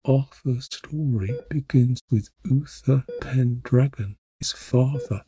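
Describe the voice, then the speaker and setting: Audio drama aristocrat client, male actor, wealthy and solemn, mellow tone, slow deliberate delivery. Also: mid-range voice, close to an everyday voice. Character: Mister Cavendish, stately wealthy duke, solemn male client.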